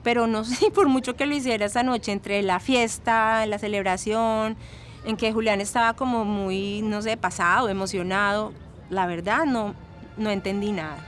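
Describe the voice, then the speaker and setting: An actress playing a chatty former student with a Latin American Spanish accent, giving gossipy, fondly exasperated testimony in an audio drama. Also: female voice, medium pitch, steady tone, moderate pace, soft background music, natural voice. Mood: animated recounting. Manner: gossipy, emphatic, sprinkles in English words.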